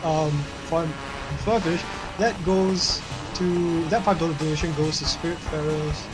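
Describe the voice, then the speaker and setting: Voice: medium pitch, steady tone, a little slow, rough recording. A gaming marathon host casually announcing and reading out donations live. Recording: game music playing behind voice. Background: video game music.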